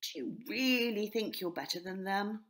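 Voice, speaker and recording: A woman's voice: medium pitch, normal speed, fairly bright tone, pitched above the performer's own voice, lightly acted. A woman voicing a stressed but kind male jackdaw chief in a children's story, firm and harried. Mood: cross.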